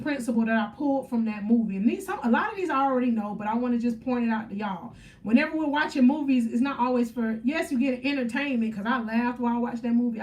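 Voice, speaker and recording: Lady in her 30s, upbeat and preachy, chatting w her live comments, highish voice, sounds a bit away from the mic. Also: recorded from a distance, poor audio. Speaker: faith motivational livestream host. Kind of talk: casual live stream chat with viewers.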